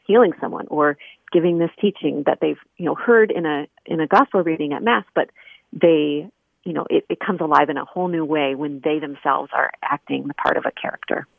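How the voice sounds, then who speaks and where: A woman speaking as an interview guest over a phone, conversational and reflective, pausing as she gathers her thoughts. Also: sits low, gravelly, no room sound. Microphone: telephone call. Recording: narrow, tinny phone line.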